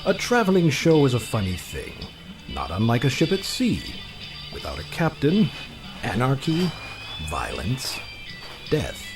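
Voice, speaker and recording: Theatrical, wildly expressive sinister villain. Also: male voice, medium pitch, unhurried, long pauses, music in the background. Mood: sly, grandiose. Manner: theatrical, formal, ominous, insinuating. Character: sinister, silky male magician's mechanic, a menacing villain. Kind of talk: audio drama acting.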